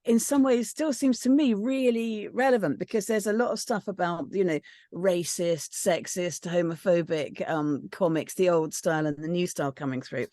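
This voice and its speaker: A woman's voice: fairly low pitch, moderate pace, mellow, no echo, studio quality. A woman on a reading panel giving casual, tentative feedback, circling round her phrases. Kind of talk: casual discussion.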